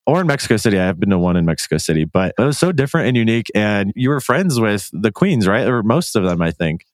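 Male podcast host, lowish voice, casual and gushing chat, very dry sound. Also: very animated, quick pace, dry recording. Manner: gushing, informal, frequent 'like'.

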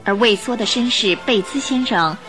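A woman's voice: fairly high pitch, normal speed, a bit bright, faint music behind. A woman giving dramatic scripted narration as the storyteller of a radio drama.

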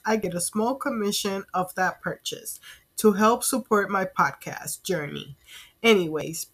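A woman's voice: fairly low pitch, dry recording. A woman in her thirties from Puerto Rico, a native Spanish speaker with a Puerto Rican accent, hosting a casual, candid solo podcast. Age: 30s. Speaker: podcast host.

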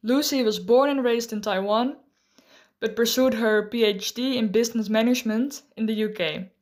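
Measured woman host narrating. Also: fairly high pitch, darkish tone. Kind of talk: scripted narration and interview questions.

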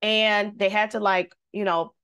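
A woman, a singer, telling a personal story in casual conversation, lively and devout, acting out the voices she quotes. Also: mid-range voice, unhurried, clean tone. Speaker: guest.

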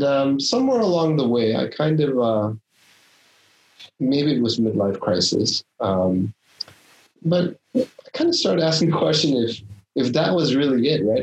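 A man's voice: medium pitch, poor audio. A man in his fifties from the Philippines, a startup founder telling his story in an interview, thinking aloud as he goes.

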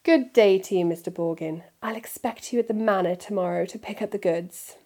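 A woman's voice: mid-range voice, a little fast, dark tone, lightly acted. Woman reading a cold, clipped aristocrat father, disdainful. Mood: curt.

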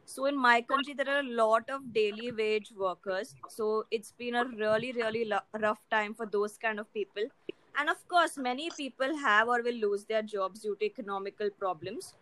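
Bright-voiced young woman from India. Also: on the high side, moderate pace, rough recording. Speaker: co-host. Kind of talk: casual discussion.

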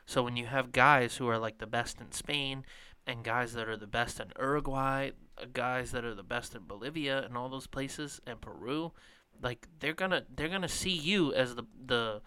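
A man co-hosting a sports podcast, rambling casually and letting his sentences trail off. His voice is bright and smooth. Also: medium pitch, normal speed. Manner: rambling, filler-heavy, trails off quietly. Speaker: podcast co-host.

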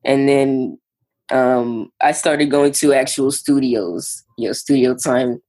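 A young New musician talks through his start in music in a loose, halting way, leaning on fillers as he thinks. His voice sits at a middle pitch for a man comes through in the casual chat. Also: steady tone, darkish tone. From New York. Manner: laid-back, halting, lots of "like". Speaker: musician guest. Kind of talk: casual interview.

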